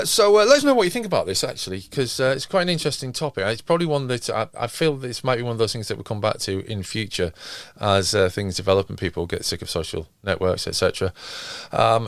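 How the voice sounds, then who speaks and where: A man with a fairly low voice hosts a podcast. He speaks casually and a little hesitantly, in a conversational style. The sound is close and dry, with no room echo. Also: creaky. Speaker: podcast host. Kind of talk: casual discussion.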